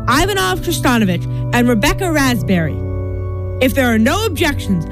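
Female actor as fussy, caring bartender, high lively voice, radio comedy. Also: normal speed, over music. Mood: prim, concerned. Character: concerned, prim bartender who officiates weddings. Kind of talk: comic radio play acting.

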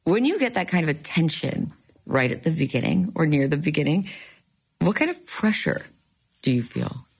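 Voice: medium pitch, very animated, normal speed, vocal fry, slightly rough. A radio interviewer asking questions in an easygoing, chatty way.

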